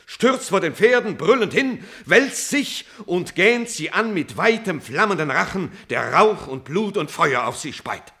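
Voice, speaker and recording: Solemn, slow-speaking tragic messenger. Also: male voice, fairly high pitch, a bit bright, slightly rough, heavily acted. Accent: standard German stage diction. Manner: solemn, declamatory, drawn-out dramatic phrasing. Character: grief-stricken male messenger, loyal friend reporting a hero's death. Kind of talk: classical German verse tragedy, declaimed radio play monologue.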